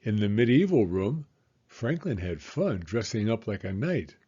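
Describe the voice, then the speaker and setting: A man reading a children's storybook aloud in an expressive, deliberate storytelling manner. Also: on the low side, breathy edge, highs cut off. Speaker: storybook reader. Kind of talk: children's read-aloud narration.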